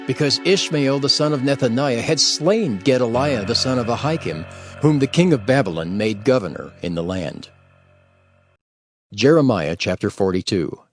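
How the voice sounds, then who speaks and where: A man serves as narrator of an audio Bible. His mid-pitched voice is formal and solemn yet widely inflected, telling the story over faint music. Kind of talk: scripted scripture narration.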